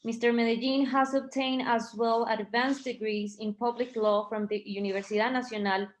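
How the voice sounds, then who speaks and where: A woman with a fairly high voice speaks on a video call as an event organiser. She is informal and halting, pausing to find her words. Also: steady tone, poor audio. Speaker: event organiser introducing a guest.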